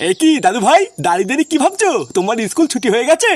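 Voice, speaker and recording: A man's voice: very high-pitched, sing-song, very fast, background noise. A man voicing a doting, inquisitive old grandfather in a comic cartoon, with a Bengali accent. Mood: curious, reassuring. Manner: fussy, inquisitive.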